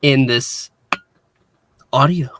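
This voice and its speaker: A man hosting a casual review discussion, speaking in a halting way with repeated false starts. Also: medium pitch, noisy background. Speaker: podcast host and reviewer.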